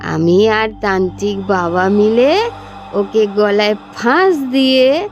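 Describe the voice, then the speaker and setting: A woman playing a cruel older matriarch who practises dark tantra, confessing in a drawn-out, taunting way with wicked relish, in a horror cartoon. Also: medium pitch, animated, faint music behind. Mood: taunting, menacing. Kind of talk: dramatic horror cartoon voice acting.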